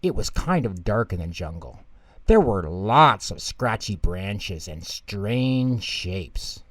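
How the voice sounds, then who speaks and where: Male bedtime story narrator, somewhat low voice, unhurried pace, expressive delivery. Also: dry recording. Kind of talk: scripted children's storybook narration.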